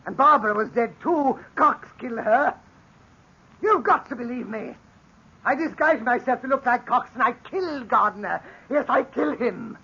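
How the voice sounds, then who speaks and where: An actor playing a scheming captain and former stage actor turned murderer in a 1940s radio drama, blustering and cracking into frantic shouts. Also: male voice, lively intonation, moderate pace, vocal fry, breathy edge, exaggerated voice. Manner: blustering, grows frantic and shrill. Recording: dull old radio broadcast recording.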